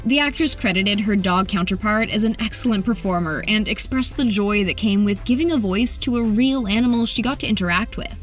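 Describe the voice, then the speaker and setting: A woman's voice: medium pitch, brisk, hardly any pauses, faint music behind. A woman reading scripted entertainment narration as a video narrator.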